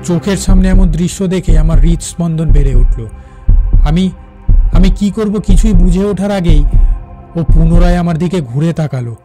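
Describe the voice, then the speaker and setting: A railway officer played by a man tells his own ghost story for a horror cartoon. His fairly high voice is suspenseful and halting, repeating words for dramatic effect. Music runs clearly behind him. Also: dark tone, natural voice. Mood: fearful, suspenseful.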